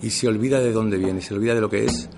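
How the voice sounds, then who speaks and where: A middle-aged man from Seville talks easily in an interview. His voice sits on the lower side. He brings his anecdotes alive by acting out what each person said. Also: fast, gravelly, crowd noise. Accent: neutral Castilian Spanish for work, Andalusian at home. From Seville, Spain. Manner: animated storyteller, acts out quoted dialogue. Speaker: actor, interview guest. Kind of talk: casual interview talk.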